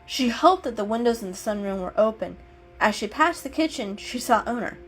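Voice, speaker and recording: A woman storyteller giving an expressive, unhurried dramatic reading of an animal story. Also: fairly high pitch, husky, soft background music.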